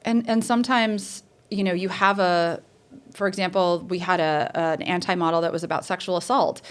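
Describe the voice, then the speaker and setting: Chatty woman with a lower voice. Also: moderate pace. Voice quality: says she has a lower voice. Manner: lively, chatty, many fillers and restarts. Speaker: theater professor, guest. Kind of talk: casual interview storytelling.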